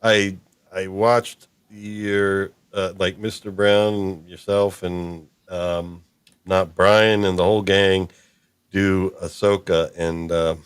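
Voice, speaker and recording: A man hosting a podcast in a casual, hesitant and halting way. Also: low voice, level tone, clean tone. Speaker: podcast host. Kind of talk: casual chat.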